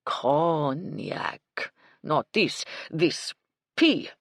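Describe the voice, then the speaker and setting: A woman's voice: lowish voice, very animated, normal speed, no room sound, clean studio sound. A woman voicing a temperamental male French chef with a French accent, blustering and dramatic. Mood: indignant.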